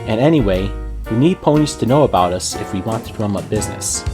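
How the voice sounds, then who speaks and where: A man's voice: on the low side, expressive, fast talker, lightly acted. Guy reading as a sensible lady pony shopkeeper, skeptical, casual and reasoning, music behind. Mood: practical. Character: level-headed, skeptical female pony shopkeeper.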